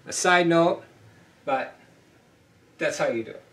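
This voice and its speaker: A man's voice: on the high side, expressive, a little slow, fairly bright tone, recorded from a distance. A middle-aged man teaching ship fitting at a whiteboard, blunt and emphatic, repeating points as he talks through the steps. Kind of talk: whiteboard welding lesson. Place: small indoor room at a whiteboard. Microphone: camera mic from a few feet away. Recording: roomy echo, voice off-mic.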